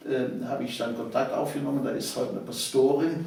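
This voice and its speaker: Echoey older man with creaky voice. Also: mid-range voice, steady tone, a little fast, darkish tone, slight background noise. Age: 70s. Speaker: interview guest, author of local history books. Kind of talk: conversational reminiscence. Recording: echoey room, distant microphone.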